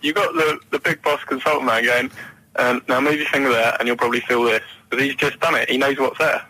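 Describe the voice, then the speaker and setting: A man's voice: medium pitch, fairly even intonation, fast talker, pushed and bright, slightly rough, no echo. A young man talking casually as a caller on a radio phone-in. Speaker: caller, medical student. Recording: noisy radio phone-in call.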